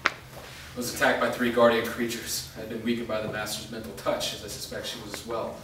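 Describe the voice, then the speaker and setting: A man playing a wounded, resolute part-vampire fighter in a staged reading, speaking in a serious, measured, explanatory way. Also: on the low side, completely flat delivery, lightly acted. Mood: measured, explaining. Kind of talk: staged reading of a fantasy drama. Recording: echoey room, distant mic, faint noise.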